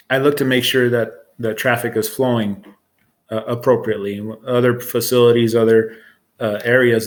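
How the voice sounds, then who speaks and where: American male, 40s, mid-pitched, matter-of-fact supervisor talking informally about the job. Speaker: air traffic control supervisor. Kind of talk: informal interview explanation of own job.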